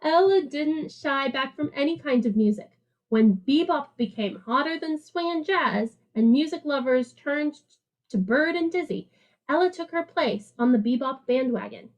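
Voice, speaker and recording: A woman reads as a streetwise alley cat who loves jazz, telling a picture-book story. The voice sits high. The delivery is slangy and rhythmic, with playful emphasis. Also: expressive, normal speed, lo-fi sound. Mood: swinging, enthusiastic. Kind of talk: picture-book read-aloud narration.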